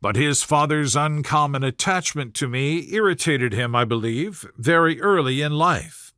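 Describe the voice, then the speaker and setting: A man voicing an aggrieved young Regency gentleman in a storybook, smooth-talking and formal, with measured, wounded dignity. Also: a little high, no room sound, clean studio sound. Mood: confiding, resentful. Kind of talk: storybook character voice in audiobook reading.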